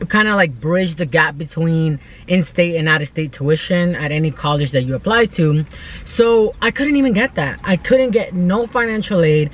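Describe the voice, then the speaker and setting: A woman in her twenties from the United States talking casually and earnestly in an unscripted vlog, with informal, emphatic phrasing. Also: lowish voice. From United States, born in El Salvador. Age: 20s. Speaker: vlogger.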